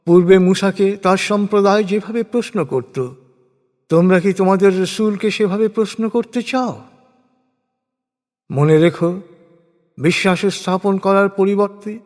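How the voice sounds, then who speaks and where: A man narrating translated scripture in a solemn, measured, formal way, phrase by phrase with falling endings. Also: high voice. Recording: long reverberant hall effect.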